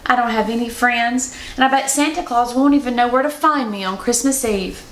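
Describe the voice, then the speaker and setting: A woman's voice: on the high side, fairly quick, reverberant, natural voice, poor audio. A woman voicing a loyal, brave young girl in a children's storybook, speaking earnestly and emphatically. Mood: sympathetic. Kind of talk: children's storybook read-aloud.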